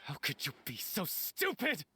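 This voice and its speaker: A man's voice: a little high, huge pitch swings, a little slow, sharp tone, a little hiss or hum, exaggerated voice. A man playing a bereaved, hot-tempered lover who accuses bitterly in a dramatic, confrontational style. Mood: furious, grieving. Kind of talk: dramatic voice acting.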